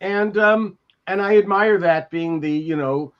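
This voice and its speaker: An older American man on a podcast, telling anecdotes and reading from a memoir in a halting, reflective way. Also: high-pitched, clear, resonant tone. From Beverly Hills, California. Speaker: podcast co-host. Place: indoors at home, on a video call. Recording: dull lo-fi video call audio.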